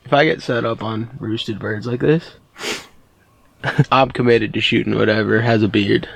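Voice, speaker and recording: A man's voice: medium pitch, steady tone, normal speed, creaky, breathy edge, no echo. A young man hunting outdoors, talking in a casual, easygoing way and calling his friend "dude" as he goes over the morning. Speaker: hunter and channel host. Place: outdoors at a field edge by woods.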